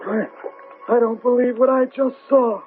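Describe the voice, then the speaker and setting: An actor playing an excitable working man in a 1970s radio drama, incredulous and colloquial. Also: very high voice, normal speed, soft background music. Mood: amazed. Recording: tinny radio broadcast with background noise.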